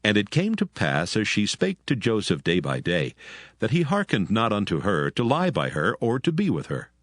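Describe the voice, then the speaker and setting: A man's voice: on the low side, huge pitch swings, normal speed, no echo, clean studio sound. A man reading a scripted, dramatized scripture story as its narrator.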